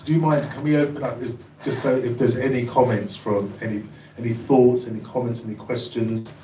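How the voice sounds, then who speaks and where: A man's voice: mid-range voice, fairly even intonation, brisk, warm tone. Male moderator, chatty and easygoing, recorded far off in a roomy space. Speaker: host. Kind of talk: moderating panel. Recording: echoey room, distant mic, noisy.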